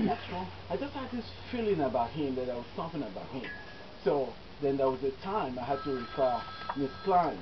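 Nigerian-accented man speaking outdoors. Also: fairly high pitch. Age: middle-aged. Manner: earnest, halting with many fillers. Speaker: physician giving a eulogy. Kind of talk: personal eulogy to an outdoor gathering. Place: outdoors under a tent on a grass field. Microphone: far camera, no mic. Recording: dull lo-fi camera audio with loud outdoor noise.

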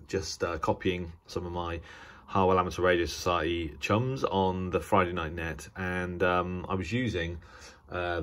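A British man giving a casual, unscripted hobbyist demonstration, speaking haltingly and often restarting his sentences. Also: low-pitched, fairly bright tone. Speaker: radio hobbyist presenting a video. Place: indoors at a table.

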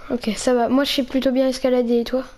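A woman's voice: high-pitched, level tone, quick pace, warm tone. A teenage girl improvising a gaming roleplay, exclaiming and often repeating short phrases. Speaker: player in a Minecraft roleplay video.

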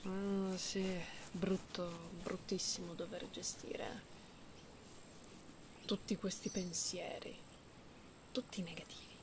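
A woman playing a gentle, playful cat-girl in an ASMR roleplay, speaking softly and intimately, often slipping into whispers. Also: lowish voice, little pitch movement. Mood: soft, concerned. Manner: slow, soothing, intimate, teasing, with meows and purry hums. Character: gentle, playful genetically modified cat-girl comforting someone lonely. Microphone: close binaural microphone. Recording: close binaural recording with faint background noise.

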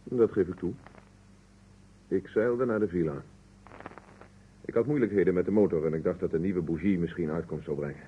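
A man's voice: sits low, normal speed, long pauses, slightly rough. An actor playing a defensive, dryly sarcastic man under questioning in a vintage Dutch radio drama. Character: defensive, indignant man being questioned, candid and sardonic. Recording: muffled, narrow-band old radio recording.

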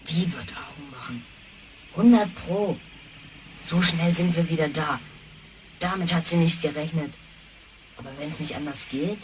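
A woman playing a brash, sullen youngster in a radio play, talking in a gruff, slangy way. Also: deep voice, measured pace, pauses often, a little hiss or hum. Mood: cocky. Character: brash, sullen youngster worried about grandfather. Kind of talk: German radio play acting. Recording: sounds distant from the mic.

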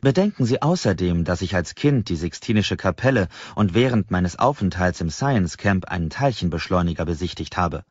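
Deep-voiced male audiobook narrator. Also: lively intonation, moderate pace, few pauses, a little mellow, slightly rough, dry recording, lo-fi sound. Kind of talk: scripted first-person novel narration.

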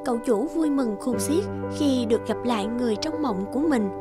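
A woman narrates a scripted cartoon fairy tale. She is the storyteller, and music plays loudly behind her. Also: a little high, a little fast.

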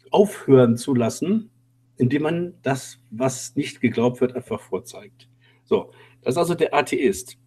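A husky-voiced man of medium pitch co-hosts an online talk show. He explains off the cuff, in a halting way, repeating words to drive them home. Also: a little mellow. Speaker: co-host. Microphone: online call.

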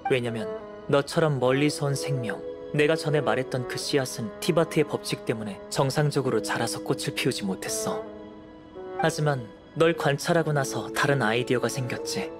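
A calm young alchemist played by a man in an anime game, with a mid-pitched voice. He is measured, soft-spoken and philosophical, with music behind him. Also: close to an everyday voice. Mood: composed, explanatory. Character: calm, pensive young male alchemist scholar. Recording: clean studio voice over background music.

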